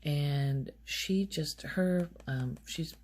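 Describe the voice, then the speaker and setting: A soft-spoken woman rambling in a halting, unhurried ASMR style, her quiet voice often close to a whisper. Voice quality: soft, low-volume voice, often near whisper. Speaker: ASMR video host.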